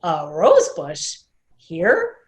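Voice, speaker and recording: A woman's voice: low-pitched, wildly swooping pitch, very slow, heavily acted. A woman voicing a friendly but doubtful, questioning neighborhood man in a storybook. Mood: skeptical. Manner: questioning, skeptical.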